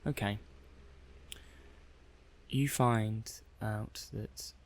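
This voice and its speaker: A man playing a hesitant, curious robot technician in an ASMR roleplay, halting and musing aloud. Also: lowish voice, gravelly, a little mellow. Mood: intrigued, thoughtful. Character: hesitant, curious male robot technician running software tests. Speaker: audio roleplay performer. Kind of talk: soft-paced ASMR roleplay monologue.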